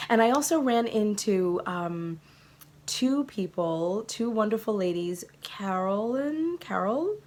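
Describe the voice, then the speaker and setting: A woman hosting her podcast, telling a story to viewers in a gushing, excitable way, her voice a little croaky from a cold. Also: mid-range voice. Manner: gushing and excitable, laughing often. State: recovering from a sore throat. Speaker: podcast host.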